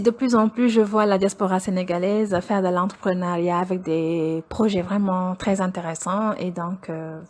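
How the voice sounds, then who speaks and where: A woman's voice: medium pitch, a little mellow. Earnest young Senegalese woman. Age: young adult. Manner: earnest, storytelling with light laughs. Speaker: PhD student interviewee. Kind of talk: personal account in an interview.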